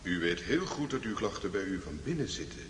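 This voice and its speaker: A man's voice: sits low, big pitch swings, fairly quick, fairly bright tone. An actor playing a formal, admonishing man remembered in someone's head in a radio play. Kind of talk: Dutch radio play acting. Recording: echoey reverberant hall, faint noise.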